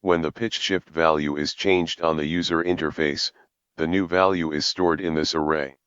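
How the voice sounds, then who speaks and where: Low smooth guy doing a scripted how-to voiceover, measured and explanatory, kinda muffled dry recording. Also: fairly even intonation, a bit bright, no echo. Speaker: programming tutorial presenter. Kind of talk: scripted tutorial narration.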